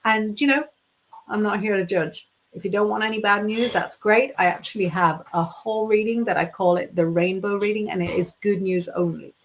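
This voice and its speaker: A woman's voice: mid-range voice, moderate pace, a little hiss or hum, rough recording. A woman from the UK, a tarot reader, chatting casually while teaching on a livestream and reading out viewer comments. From United Kingdom.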